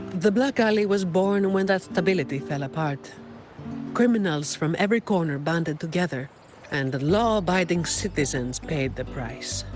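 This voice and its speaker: Composed informant, music behind. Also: female voice, lowish voice. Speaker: game character giving briefing and lore. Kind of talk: scripted video game dialogue. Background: instrumental music.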